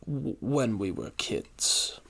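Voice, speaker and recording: A man voicing a nervous, insinuating upper-class gentleman in an audio story, speaking in a formal, theatrical, halting way. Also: mid-range voice, animated, gravelly, husky, exaggerated voice. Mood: shaken. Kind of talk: audio fiction character dialogue.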